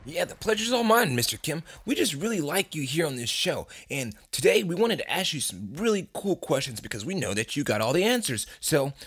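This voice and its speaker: A man's voice: on the high side, sing-song, a little fast, hardly any pauses, fairly bright tone, slightly rough, no room sound, clean studio sound, faint music behind. A man hosting a comedic radio show with casual banter.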